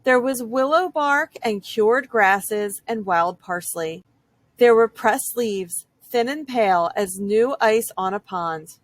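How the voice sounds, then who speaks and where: A woman reading a children's picture book aloud as an expressive storyteller. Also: a little high, slow, no room sound.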